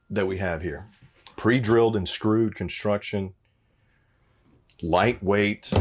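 A man in his forties giving an upbeat, conversational walkthrough of a travel trailer, recorded on a lapel mic in a small room. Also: a little hiss or hum. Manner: upbeat, conversational salesman pitch. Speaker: RV sales presenter. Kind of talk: walkthrough product review.

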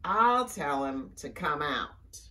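Lady doing a calm mama frog from a kids book, firm n slow, bit off mic. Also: low-pitched, recorded from a distance, heavily acted. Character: calm, fearless mother frog, firm with her child.

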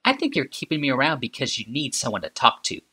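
A sardonic, morbid female inventor, as a male reader puts her on, speaking in a calm, almost conversational way. Her pitch climbs and swoops with wide, restless movement. The tone stays bright and clear throughout the audiobook reading. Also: quick pace, full character voice. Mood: mocking.

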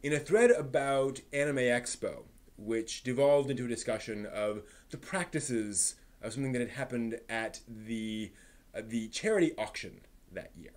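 A man's voice: mid-range voice, moderate pace. A middle-aged man presenting an introduction to camera. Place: home room lined with bookshelves.

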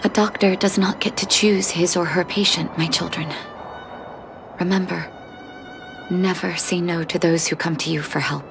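An actress playing a gentle, sorrowful woman doctor in a video game, soft and breathy at times, measured and reflective, with music behind her. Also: fairly low pitch, fairly even intonation. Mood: wistful, resolved. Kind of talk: scripted game dialogue.

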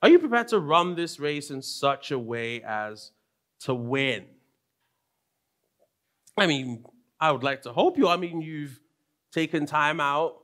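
A young man with a London English accent preaching a sermon from a pulpit, animated, moving between soft asides and raised, emphatic lines. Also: a little high, slow pace, pauses often. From London, England. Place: church stage with purple lighting.

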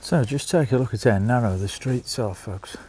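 A man with a Birmingham accent strolls through a seaside town, filming on a phone and chatting to his viewers as folks. His voice is mellow and of middling pitch. A faint outdoor hiss sits under it. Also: normal speed. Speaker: vlogger. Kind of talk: walking tour narration.

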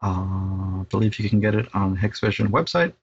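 A man's voice: fairly low pitch, moderate pace, husky. Middle-aged man, slightly muffled tutorial voice. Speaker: tech installer presenting a how-to tutorial. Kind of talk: casual step-by-step tutorial.

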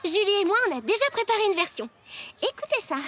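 A woman's voice: very high voice, sing-song, fast, a bit bright, full character voice. A woman voicing an upbeat, persuasive and determined young schoolgirl in a cartoon, with an eager, coaxing delivery. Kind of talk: animated cartoon voice acting. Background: music.

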